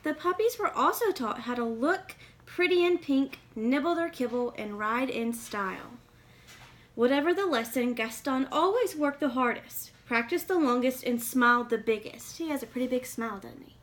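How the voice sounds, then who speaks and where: A woman's voice: high voice, animated, moderate pace, fairly bright tone. A woman reading a children's picture book aloud expressively, with questioning asides to young listeners. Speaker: read-aloud reader.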